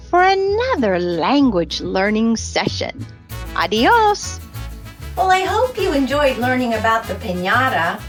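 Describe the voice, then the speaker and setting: A woman reading a children's story aloud in a sing-song, deliberate way, with short teaching asides addressed to young listeners. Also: on the high side, fairly bright tone. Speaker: author reading own children's book.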